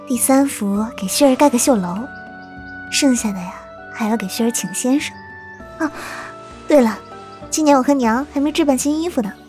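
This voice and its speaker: Woman acting gentle young wife, slightly high voice. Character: dutiful, affectionate young wife in ancient China. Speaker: voice actor in an amateur audio drama. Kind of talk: Chinese period audio drama acting.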